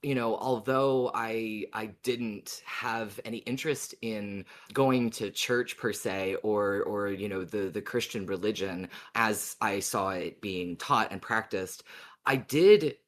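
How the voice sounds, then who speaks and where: A man hosting a podcast in a casual discussion, talking in a thoughtful, halting way with repeated words. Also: lowish voice. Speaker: podcast host.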